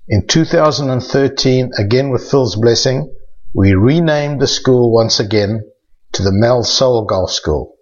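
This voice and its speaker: An older man with a South African accent, a golf instructor hosting his own podcast in a measured, scripted way. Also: medium pitch, lo-fi sound.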